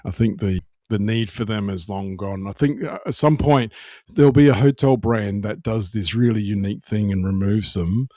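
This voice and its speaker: A man's voice: on the low side, moderate pace, mellow, no echo, studio quality. A middle-aged man chatting casually as a podcast co-host, wry, often restarting and repeating his phrases. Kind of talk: casual banter.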